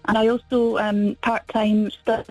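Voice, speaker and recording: Fairly high-voiced Scottish woman contestant. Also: flat delivery, normal speed, a little mellow, no room sound, low-quality recording. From Falkirk, central Scotland. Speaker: radio quiz contestant and caller. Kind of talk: casual phone-in chat.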